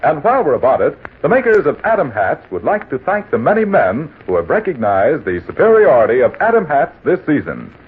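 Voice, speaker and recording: A man's voice: fairly high pitch, wildly swooping pitch, brisk, few pauses, husky. A man working as a radio announcer, with a polished salesman delivery in 1940s advertising style. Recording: tinny muffled vintage radio broadcast.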